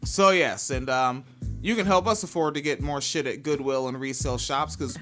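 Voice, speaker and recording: A young man hosting a podcast, chatting casually with a profane, squealy, animated way of talking. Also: medium pitch, normal speed, faint music behind. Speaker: podcast host. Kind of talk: casual chat.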